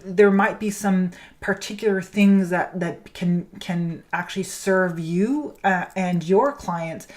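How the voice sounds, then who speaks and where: Danish woman coaching in a lowish voice. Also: slow, hardly any pauses. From Denmark. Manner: hesitant, many fillers and restarts; earnest, encouraging. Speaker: spiritual business coach. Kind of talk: solo podcast monologue.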